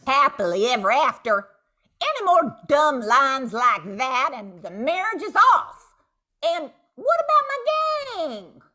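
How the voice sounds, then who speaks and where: Older woman plays bossy cowgirl outlaw boss; slow, theatrical, very animated, radio western comedy. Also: sits high, full character voice. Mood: sassy. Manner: drawn-out, commanding, theatrical. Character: bossy, flirtatious female Wild West gang leader. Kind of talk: amateur old-time radio comedy acting.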